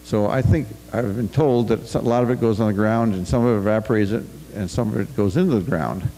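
A man's voice: on the low side, fairly quick, mellow, soft background music. Older man reading a talk in a reverberant hall. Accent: American. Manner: reads from paper, with restarts and asides. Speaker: farm forum speaker. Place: school auditorium, at a podium. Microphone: podium mic on a stand. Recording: reverberant auditorium.

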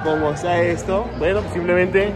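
A man's voice: high-pitched, steady tone, normal speed. A young man touring a craft fair on camera, chatting casually and addressing viewers directly. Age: young adult. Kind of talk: casual on-camera tour chat. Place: busy outdoor craft fair. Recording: loud music and crowd behind.

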